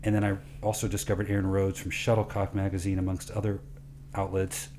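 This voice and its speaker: A man with a fairly low, relaxed voice hosts a casual podcast interview. His delivery is informal and conversational. A faint hum sits behind him. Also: brisk.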